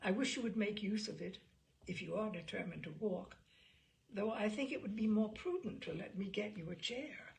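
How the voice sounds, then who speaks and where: Woman reading as a reserved, creaky-voiced gentleman captain. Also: mid-range voice, moderate pace, slight background noise, close to an everyday voice. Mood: courteous, solicitous. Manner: formal, solicitous. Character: courteous, reserved naval captain, a gentleman suitor.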